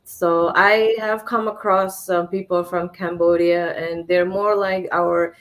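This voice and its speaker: A woman's voice: fairly low pitch, little pitch movement, slow. Woman from Fiji hosting a live chat. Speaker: host. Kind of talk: online live business chat.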